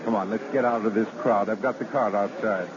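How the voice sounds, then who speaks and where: An actor playing a doting, eager gentleman in an old radio drama, affectionate and brisk in his talk. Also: fairly low pitch, steady tone, crowd noise, close to an everyday voice. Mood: delighted. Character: doting, eager wealthy gentleman, devoted fiancé. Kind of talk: 1930s-40s radio drama acting. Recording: dull old radio recording, faint noise.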